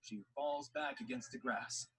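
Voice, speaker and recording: A man's voice: medium pitch, fairly even intonation, measured pace, fairly bright tone, low-quality recording. A man reading scripted story narration for an audio drama. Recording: faint background noise.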